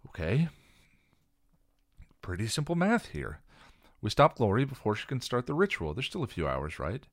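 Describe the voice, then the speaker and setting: A man voicing a fierce, defiant young woman who speaks forcefully in short, clipped lines. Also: medium pitch, huge pitch swings, normal speed, dry recording, clean studio sound, voice pushed up. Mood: determined.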